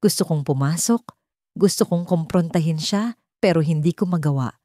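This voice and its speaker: A woman's voice: deep voice, moderate pace, mellow, dry recording, studio quality. A woman narrating a scripted first-person story as a storyteller.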